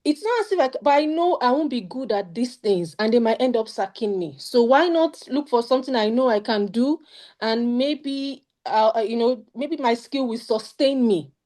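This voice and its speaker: A woman's voice: fairly high pitch, animated, normal speed, darkish tone. A young woman with a Nigerian accent sharing her study-abroad experience in an earnest, emphatic way on a video call. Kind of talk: casual panel talk.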